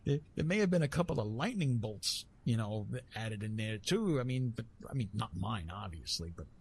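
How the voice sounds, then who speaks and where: A man playing Eros, a gently amused, bashful retired god of love, in a halting, teasing, intimate ASMR roleplay monologue. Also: fairly low pitch, big pitch swings. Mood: amused, bemused. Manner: hesitant, halting, teasing, with repeated words.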